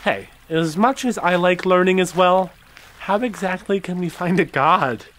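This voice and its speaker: A man playing an excitable, skeptical joker on an adventure in an audio drama, talking casually and incredulously. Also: high-pitched, a little slow, a little hiss or hum. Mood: incredulous, joking. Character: excitable, skeptical, joking young guy on an adventure.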